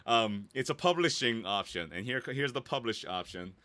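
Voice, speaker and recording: A man with a bright, forceful voice talks through an informal how-to session. His pitch moves around a lot as he chats playfully and drops in little silly interjections. The sound is close and dry, like a home studio microphone. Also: expressive, moderate pace, dry recording. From Korea or Korean heritage, based in Los Angeles. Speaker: voice actor leading a website tutorial. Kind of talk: casual live screen-share tutorial.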